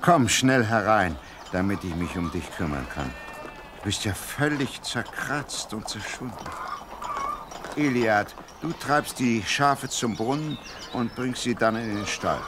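An actor playing a humble, middle-aged farmer and worried father in an earnest, expressive Bible audio drama. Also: on the low side, unhurried, noisy background. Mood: alarmed, caring.

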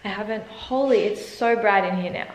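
A chatty young woman talks to her camera with easy giggles. Her voice sits at an ordinary pitch. The room around her rings a little. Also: moderate pace, reverberant, a little hiss or hum, poor audio. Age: 20s. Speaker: travel vlogger. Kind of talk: casual vlog chat to camera. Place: holiday rental house, indoors. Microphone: handheld camera at arm's length.

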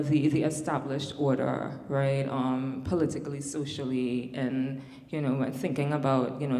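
A woman's voice: rumbling low, mellow. Trinidadian lady academic on a panel, lots of ums and restarts, roomy echo. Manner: thoughtful, many fillers and restarts. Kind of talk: panel discussion. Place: large hall on stage. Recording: echoey hall with long reverb.

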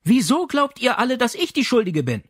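A man's voice: very high voice, wildly swooping pitch, brisk, dry recording, studio quality, pitched above the performer's own voice, full character voice. A man voicing an exasperated, fretful Italian mother in an audiobook, indignant and plaintive. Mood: aggrieved. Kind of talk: audiobook character acting.

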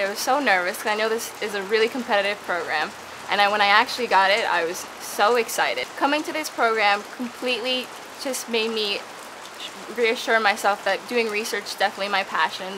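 Bubbly young woman over rain noise. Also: medium pitch. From Dominican Republic. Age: young adult. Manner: eager, bubbly, quick restarts. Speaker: student researcher. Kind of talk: casual to-camera interview. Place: outdoor patio beside tropical plants. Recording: steady rain noise behind.

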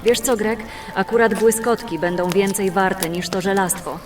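A woman's voice: medium pitch, fairly even intonation, normal speed, far from the mic, over music, natural voice. A woman playing a practical, level-headed fantasy adventurer in an audio drama, speaking in a matter-of-fact, composed way.

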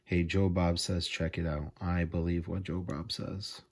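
A man's voice: sits low, level tone, measured pace, breathy edge. A man hosting a casual unboxing vlog, enthusiastic and full of small fillers as he goes through his purchases. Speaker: video host. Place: indoors at a table, off camera.

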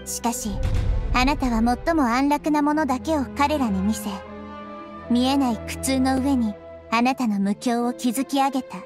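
A woman voicing a small, wise young girl deity in a game, speaking in a measured, gently reproving way. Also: high voice, music in the background, close to an everyday voice. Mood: earnest. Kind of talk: scripted Japanese game voice acting.

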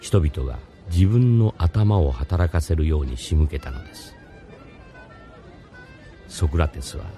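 A man's voice: a bass voice, mellow, exaggerated voice. A man playing a mysterious, courteous philosophy teacher, narrating in a scripted radio drama.

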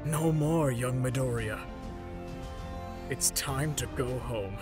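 A man voicing a big, earnest, stubborn heroic mentor in a comic dub, deliberate and declamatory, over music. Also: medium pitch, close to an everyday voice. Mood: heroic resolve. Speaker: voice actor in a fan comic dub. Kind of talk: dramatic comic dub acting. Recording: prominent music behind the voice.

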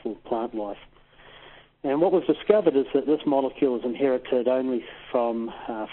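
New Zealand male professor on the phone. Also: mid-range voice. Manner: hesitant, careful, simplifying science. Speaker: professor, interview guest. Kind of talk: explanatory interview talk. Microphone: telephone. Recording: tinny phone line.

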